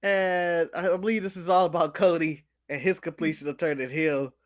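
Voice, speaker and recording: High-voiced man ranting about wrestling. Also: moderate pace. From United States. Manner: animated, ranting, laughs at his own jokes. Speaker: podcast co-host. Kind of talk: casual wrestling recap chat.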